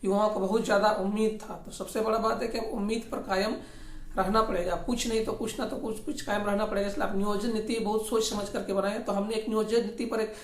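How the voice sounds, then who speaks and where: A speaker with a Jharkhand accent giving informal political commentary, often repeating and rewording phrases in a halting way. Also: medium pitch, fairly even intonation, a little hiss or hum. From Jharkhand, India. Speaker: host of a regional news commentary channel. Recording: echoey, roomy sound.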